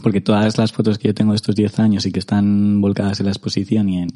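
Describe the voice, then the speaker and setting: A man's voice: lowish voice, monotone, quick pace, mellow. A young man from Madrid, chatting casually as a guest, hesitant and full of fillers and restarts. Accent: Madrid Spanish. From Spain. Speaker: guest photographer. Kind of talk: casual interview chat.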